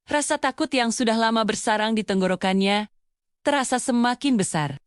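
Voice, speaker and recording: A woman's voice: a little high, no echo, studio quality. A woman narrating a scripted children's fable as a storyteller.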